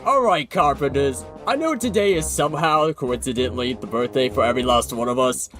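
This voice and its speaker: A man playing an excitable, exasperated carpenter foreman in a blustery, theatrical cartoon parody. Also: medium pitch, fairly quick, over loud music, natural voice. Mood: upbeat.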